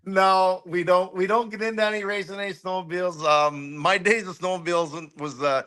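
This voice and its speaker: A man's voice: high voice, steady tone, moderate pace, bright, forceful tone. A middle-aged man chatting casually as a racing interview guest, opinionated and ready to poke fun at himself. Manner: opinionated, self-deprecating. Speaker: guest, race car crew member.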